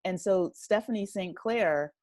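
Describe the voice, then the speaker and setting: A woman speaking as a guest in a casual interview, thoughtful and halting as she explains things. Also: medium pitch, darkish tone, no echo, clean studio sound. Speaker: podcast guest, writer and director.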